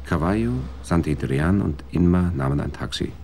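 Deep gravelly narrator guy, dry measured telling, faint music under him. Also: dark tone, a little hiss or hum. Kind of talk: scripted narration.

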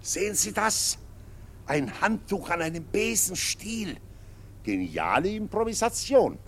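A portly, jovial official in a radio play. His voice is on the high side and pompously amused, full of sarcasm, with faint noise and ambience behind it. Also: very animated, a little slow, a bit bright, exaggerated voice. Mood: sarcastic, jovial. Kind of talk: German radio drama acting.

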